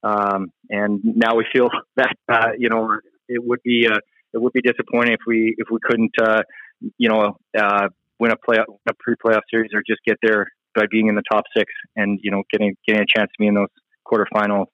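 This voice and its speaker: A man giving casual, halting interview answers as a hockey player. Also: on the low side, level tone, dry recording, dull audio. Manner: hesitant, halting, frequent you-knows. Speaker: professional ice hockey player being interviewed.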